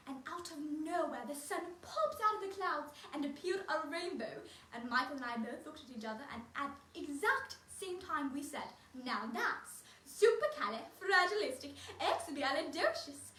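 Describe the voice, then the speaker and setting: A woman's voice: high voice, bright, forceful tone, a little hiss or hum, heavily acted. A woman playing a cheerful, prim nanny, speaking in an encouraging sing-song way to unseen children. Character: cheerful prim female nanny, young adult. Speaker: costumed character performer for children. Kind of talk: in-character children's activity video. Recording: roomy sound from a distant mic.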